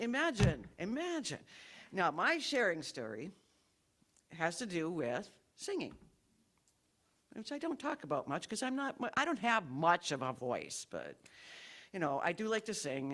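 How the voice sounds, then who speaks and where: A woman with a low voice sharing a rambling, self-deprecating personal story at a church service, in a packed room. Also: fairly bright tone. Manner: chatty, rambling, self-deprecating, laughs while telling the story. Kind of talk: informal testimony at a church service.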